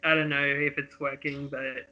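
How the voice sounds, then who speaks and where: A man's voice: mid-range voice, level tone, moderate pace, bright, forceful tone. A man co-hosting casually with an easygoing, jokey way of talking, reading from a script at times, on slightly lo-fi audio. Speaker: podcast co-host and script reader.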